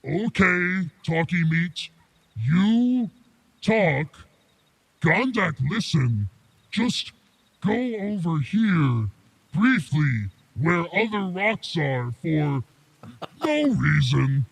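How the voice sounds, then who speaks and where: A man voicing a hulking, dim-witted troll who talks in gruff, simple, broken speech for a tabletop game. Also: fairly high pitch, big pitch swings, drawn-out words, long pauses, dry recording, exaggerated voice. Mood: gruff, commanding. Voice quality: gravelly, rough.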